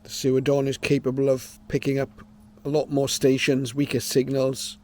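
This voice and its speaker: A man's voice: mid-range voice, darkish tone. A man giving an informal, matter-of-fact product demonstration, explaining things as he goes. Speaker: presenter of a radio receiver review.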